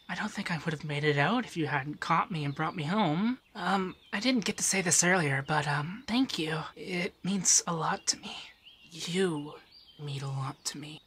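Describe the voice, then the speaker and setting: Man as femboy monster companion, soft-spoken, breathy, affectionate, teasing, shy stammer, ASMR roleplay, light background noise. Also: fairly high pitch, animated, moderate pace. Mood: tender, grateful. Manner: flirty, gently teasing, shyly stammering.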